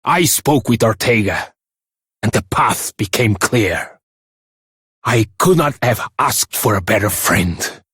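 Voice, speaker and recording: An actor playing an earnest, seasoned warrior reflecting solemnly in a video game journal monologue. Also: male voice, on the low side, expressive, slow, a bit bright, slightly rough, no room sound, clean studio sound, exaggerated voice. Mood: weary resolve.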